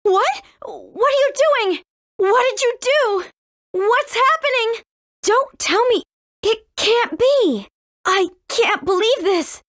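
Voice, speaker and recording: A woman's voice: extremely high pitch, expressive, slow pace, fairly bright tone, no room sound, studio quality, full character voice. A woman voicing a spirited, cautious fifteen-year-old warrior princess in a video game, earnest and youthful in her delivery. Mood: alarmed. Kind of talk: English video game voice acting.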